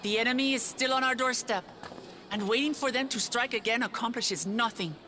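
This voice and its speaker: A woman playing a resolute young ruler in a video game cutscene, addressing grieving people with solemn, earnest, measured oratory. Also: fairly high pitch, pushed and bright, slight background noise. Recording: background music under the voice.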